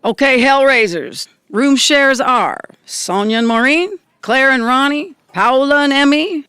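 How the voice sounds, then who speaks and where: A woman's voice: high-pitched, animated, a little slow, fairly bright tone, smooth, no echo, studio quality. A woman playing a feisty, charming country diner owner in a comedic audio drama. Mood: brisk, upbeat.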